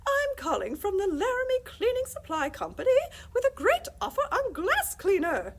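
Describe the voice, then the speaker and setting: A woman's voice: extremely high pitch, huge pitch swings, moderate pace, slight background noise, pitched above the performer's own voice, heavily acted. A woman voicing a chipper, chatty male phone salesman with a put-on English accent.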